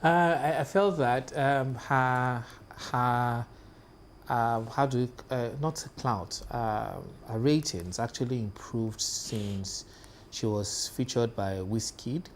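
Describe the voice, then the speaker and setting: A man's voice: mid-range voice, takes it slowly, a little hiss or hum. A man in his thirties with a Nigerian accent, co-hosting a television talk show and speaking hesitantly, with many fillers and restarts. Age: 30s. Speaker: co-host. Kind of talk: casual panel chat. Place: television studio.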